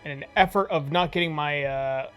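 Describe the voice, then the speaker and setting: A woman's voice: sits low, normal speed, clear, resonant tone. A woman playing a tabletop role-playing game, casually describing her moves in a blunt, sweary way. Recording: background music behind the voice. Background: music.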